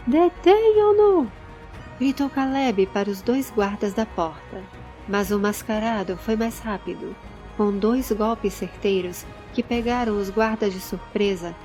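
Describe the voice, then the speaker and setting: A woman with a Brazilian accent giving measured, dramatic storytelling narration for a scripted audio drama. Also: a little high, a little mellow. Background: background music.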